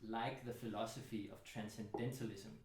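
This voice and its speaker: Low-voiced man in an echoey home studio. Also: little pitch movement, normal speed. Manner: reflective, thoughtful, with many fillers. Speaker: host. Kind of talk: casual book club conversation.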